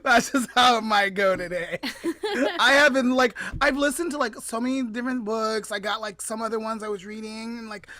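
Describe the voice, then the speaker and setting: A woman's voice: fairly high pitch, normal speed, bright, forceful tone, no echo. A woman co-hosting a podcast in casual chat, with a giggly, jokey, informal manner. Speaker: podcast co-host.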